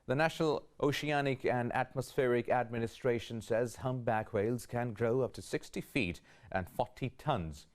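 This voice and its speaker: A man anchoring a news bulletin, reading headlines in a steady, formal way. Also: mid-range voice.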